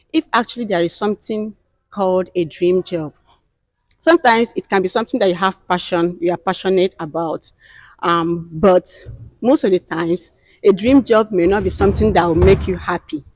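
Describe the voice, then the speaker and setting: A woman's voice: medium pitch, moderate pace, darkish tone, dry recording. Nigerian woman hosting, dry sound. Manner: hesitant, with many fillers. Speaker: host. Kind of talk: casual panel discussion.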